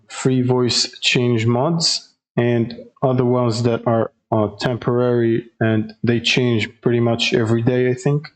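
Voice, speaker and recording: A man narrating a casual step-by-step software tutorial as its host, in a halting, hesitant way with frequent pauses. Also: mid-range voice, low-quality recording.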